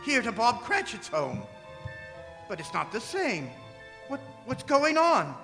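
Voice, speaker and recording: A man's voice: very high-pitched, huge pitch swings, slow, vocal fry, full character voice. A man playing a fearful, repentant old miser in a theatrical radio drama, agitated and pleading. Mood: fearful, urgent. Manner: agitated, pleading, repeats himself. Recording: reverberant theatre hall with music underneath.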